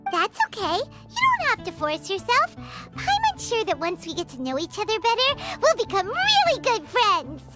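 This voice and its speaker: Squeaky little kid-like fairy buddy from a game, super high, really bouncy intonation, curious and chatty, music going. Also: female voice, huge pitch swings, normal speed, heavily acted. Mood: friendly, reassuring. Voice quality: squeaky, childlike. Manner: exclamatory, chatty. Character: tiny chirpy childlike fairy companion, curious and outspoken. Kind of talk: video game character acting. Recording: music playing behind the voice.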